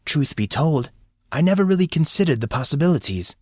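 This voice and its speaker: A man's voice: a little high, animated, brisk, darkish tone, dry recording, studio quality, voice pushed up. A man voicing an amused, courteous school employee who speaks formally and precisely, patiently explaining. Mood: dryly amused.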